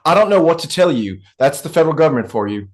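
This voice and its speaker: A man playing a gruff, sarcastic, profane oilfield fixer in a script read, talking in a blunt, folksy way. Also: on the high side, sing-song, quick pace. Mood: dry.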